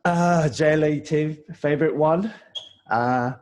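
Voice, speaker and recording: A man's voice: a little high, slow pace, darkish tone. A Japanese man with a slight New Zealand accent, interviewing a guest in English in a casual, hesitant way with many fillers and restarts.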